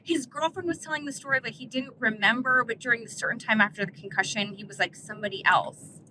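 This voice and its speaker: Female guest, halting storyteller; fairly high voice, noisy car. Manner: hesitant, lots of "um" and "like". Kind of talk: casual storytelling. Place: inside a car.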